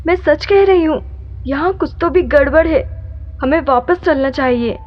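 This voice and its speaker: A woman's voice: high-pitched, normal speed, muffled recording, natural voice. A woman playing an anxious, frightened young woman in a horror story drama. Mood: scared.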